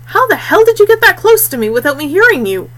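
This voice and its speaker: A woman's voice: very high voice, very animated, fast talker, voice pushed up. A woman voicing a responsible young male fort heir in an audiobook, in a conversational way. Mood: startled.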